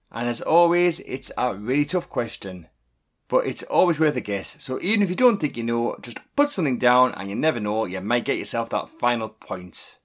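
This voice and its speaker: A man's voice: mid-range voice, fairly bright tone. A man hosting a podcast quiz, reading questions and answers in a scripted, upbeat and encouraging way. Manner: upbeat, encouraging, reads clues and answers slowly and clearly. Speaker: quiz host.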